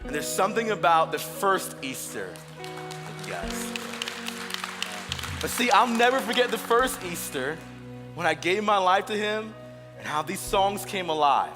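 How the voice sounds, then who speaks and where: A man in his thirties preaches an Easter testimony, earnest and personal, leaning into key phrases slowly. His voice sits a touch high. It rings through a large reverberant church hall while music plays clearly underneath. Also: big pitch swings. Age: 30s. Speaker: pastor. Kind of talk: Easter sermon, shared testimony.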